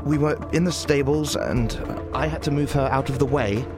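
A man's voice: medium pitch, moderate pace, over music, natural voice. A man playing a protective, earnest young warlock, the romantic lead of a fantasy audio drama. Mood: reassuring.

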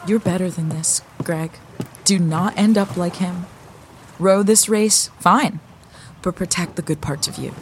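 A woman's voice: fairly low pitch, unhurried, a little hiss or hum, close to an everyday voice. An actress playing a principled young crew coxswain in an audio drama, a woman with a direct, insistent manner. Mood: indignant. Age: young adult.

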